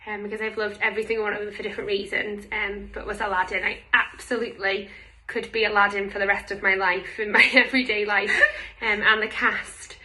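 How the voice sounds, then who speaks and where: A woman's voice: mid-range voice, flat delivery, fairly quick, sharp tone, poor audio. A woman who performs in amateur pantomime, casually talking through her favourite show. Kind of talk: casual spoken recollection to camera.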